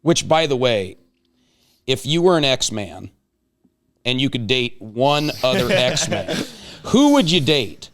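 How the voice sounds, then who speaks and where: A man's voice: medium pitch, very animated, slow, a bit bright. A man hosting a casual talk show, chatting with guests in a playful, joking way. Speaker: show host.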